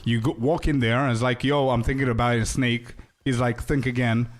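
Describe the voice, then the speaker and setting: A young male game streamer talking casually to his chat. He is loose and chatty, drifts into side stories, and calls viewers "bro". His voice sits at an ordinary middle pitch. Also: flat delivery, fairly quick.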